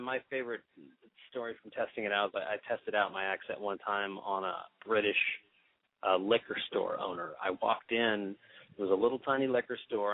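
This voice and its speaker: Male musician guest, rambling storyteller, low voice, phone sound. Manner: rambling, many restarts, animated. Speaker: guest pianist. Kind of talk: casual interview storytelling. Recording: tinny phone line, dry.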